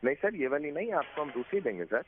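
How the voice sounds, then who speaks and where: A man's voice: on the high side, sing-song, quick pace. An Indian man working as a customer service agent, speaking politely, patiently and deferentially on a call. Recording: thin phone line, clear static and clicks.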